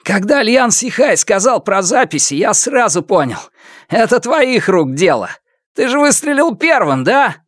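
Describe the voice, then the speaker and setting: A man voicing a frantic, wounded villain in an audiobook, hoarse and breathless, with a taunting, raised voice. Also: high voice, big pitch swings, a little slow, no echo, clean studio sound, pitched above the performer's own voice, full character voice. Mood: accusing. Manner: shouting and taunting. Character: frantic wounded male villain, gloating and enraged.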